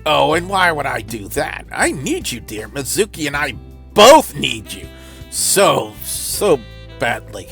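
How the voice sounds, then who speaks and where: A man's voice: on the high side, very animated, slow, vocal fry, fairly bright tone, faint music behind, full character voice. A man voicing a sly villainous woman in a game, teasing and menacing with condescending endearments. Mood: mock-sweet teasing.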